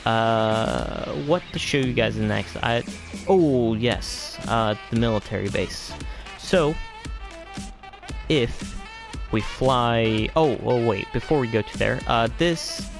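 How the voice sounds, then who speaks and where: A man's voice: medium pitch, slow pace, vocal fry, over music. A man giving a casual, rambling game tour as video host, hesitant and often trailing off into vague asides.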